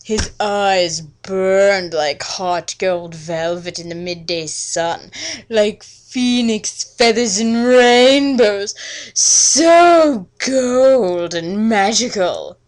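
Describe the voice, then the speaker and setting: A woman's voice: medium pitch, drawn-out words. A woman host giving a dramatic, comic reading of fan fiction with theatrical, exaggerated emphasis. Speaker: host and reader.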